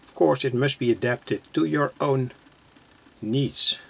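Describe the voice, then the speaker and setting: A man with a husky, gravelly voice gives a hands-on technical explanation. He speaks very slowly, phrase by phrase, in a plain and halting way, like a hobbyist presenting his own work. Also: medium pitch. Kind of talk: hands-on circuit demonstration, explanatory. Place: small cluttered indoor electronics workbench.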